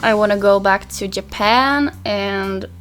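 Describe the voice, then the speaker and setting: Young swedish-speaking finnish girl doing a makeup tutorial, chatty and soft, bit of music in the back. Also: mid-range voice, a little slow. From Finland. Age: young adult. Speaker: beauty vlogger. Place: small pastel bedroom, indoors.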